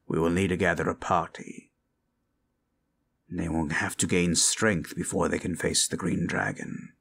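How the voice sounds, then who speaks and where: A man voicing a grave skeleton-faced wizard with a low gravelly voice and a measured, formal manner, in a fantasy ASMR roleplay. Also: fairly bright tone, full character voice. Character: grave, scary skeleton-faced male wizard, wise quest-giver.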